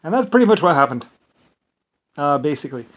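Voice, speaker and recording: American guy rambling on, fakey irish lilt, kinda high voice, keeps saying basically. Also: very animated, brisk, fairly bright tone. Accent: Irish-sounding lilt. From United States. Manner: rambling, meandering, leans on "basically". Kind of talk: casual monologue.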